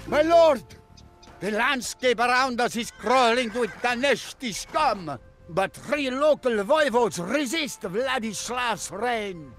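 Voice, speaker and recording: A man's voice: extremely high pitch, expressive, slow pace, heavily acted. An actor playing a loyal soldier giving an urgent report to his lord in a historical war game, with music behind.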